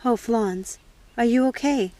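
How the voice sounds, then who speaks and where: An earnest young witch from a storybook, voiced by a woman reader. She speaks in a fairly high voice with a tender, questioning manner. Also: a little slow, warm tone, close to an everyday voice. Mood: worried.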